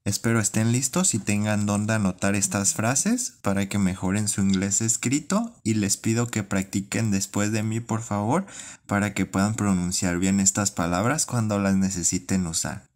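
A man who speaks as his teaches English phrases, reading each one slowly and then word by word. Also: lowish voice. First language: Spanish. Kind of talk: bilingual language lesson, slow drilled repetition.

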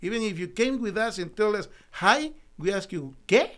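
A middle-aged man from El Salvador with a Spanish accent, telling his story as an interview guest in halting, earnest English in a small studio on a desk mic. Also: sits high, expressive. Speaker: guest, naturopathic doctor. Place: small studio with foam wall panels. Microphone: desk podcast mic.

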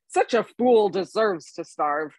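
A woman's voice: mid-range voice, lively intonation, slow, a little mellow, no echo. A woman reading a storybook, voicing a stern, suspicious male warrior leader in a grim, blunt manner. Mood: scornful.

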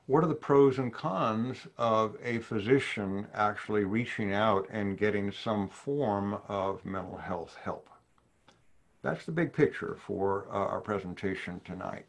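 An older man with a somewhat low voice, lecturing physicians over a video call from his home study. He speaks in a measured, thoughtful way, posing questions and letting them hang before answering. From New Orleans, USA. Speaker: psychiatrist presenting a webinar. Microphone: video call webcam.

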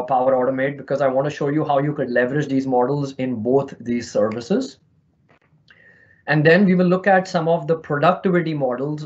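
A man's voice: medium pitch, dull audio. A man from India with a slight Indian accent, presenting a virtual conference session in a friendly, explanatory way over an online call. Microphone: remote call mic.

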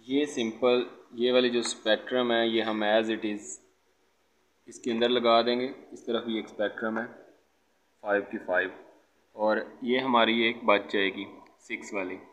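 A man giving an informal hands-on tutorial as an electrician, explaining step by step and often checking with a quick okay. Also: on the low side. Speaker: electrician presenter. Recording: dull lo-fi phone audio, background noise.

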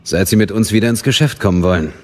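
An actor playing a dutiful, respectful intelligence captain, formal and factual, in a radio drama. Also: male voice, fairly low pitch, fast, natural voice.

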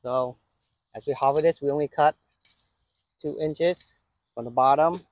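A man's voice: a little high, a little slow, no echo. A man giving a casual walkthrough of his outdoor vegetable garden while he harvests, filming on a handheld phone. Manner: relaxed, chatty, pointing things out while walking and harvesting. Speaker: gardening channel host. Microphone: handheld phone camera.

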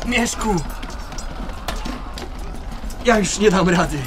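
A woman's voice: mid-range voice, moderate pace, lightly acted. A woman voicing a prince's warrior brother in a children's radio play, urgent and strained. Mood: strained, failing. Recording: clear music behind the voice.

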